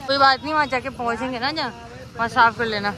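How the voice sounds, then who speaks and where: A woman's voice: a little high, fast. A young woman vlogging a family road trip in casual chat, laughing often, recorded on a phone. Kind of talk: casual travel vlog chat. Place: in a car and outdoors on a road trip. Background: loud car and street noise.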